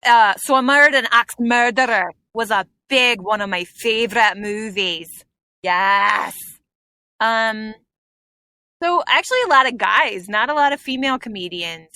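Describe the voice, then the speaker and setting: A woman's voice: fairly high pitch, a little slow, bright, forceful tone, smooth, no room sound. A woman comedian chatting as a guest on a podcast, reminiscing with plenty of fillers. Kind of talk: casual podcast interview.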